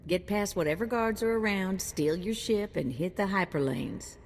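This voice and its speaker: An actress playing a brash, streetwise bounty hunter's handler in a video game, folksy and cheeky. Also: female voice, medium pitch, normal speed, slight background noise, natural voice. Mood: brisk, wry. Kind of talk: scripted game character acting. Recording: long hall reverb.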